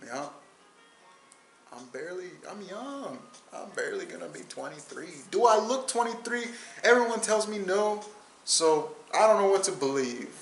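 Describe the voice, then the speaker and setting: A man's voice: high voice, big pitch swings, normal speed, reverberant, soft background music. A man in his twenties hosting a solo podcast in a casual, rambling way, repeating words and restarting his sentences. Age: 20s. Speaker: podcast host.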